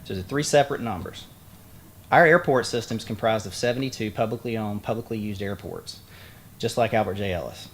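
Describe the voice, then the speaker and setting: Folksy low-voiced man at an outdoor podium. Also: a little fast. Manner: plain-spoken, folksy asides, frequent fillers. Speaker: state aviation official. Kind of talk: ceremony speech. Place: outdoor groundbreaking site. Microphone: podium microphone.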